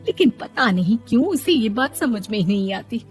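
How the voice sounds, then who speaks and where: A woman's voice: on the high side, sing-song, moderate pace, faint music behind. A woman playing a worried, embarrassed mother in a story, complaining and lamenting about her daughter. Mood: troubled lament. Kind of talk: Hindi moral story character voice acting.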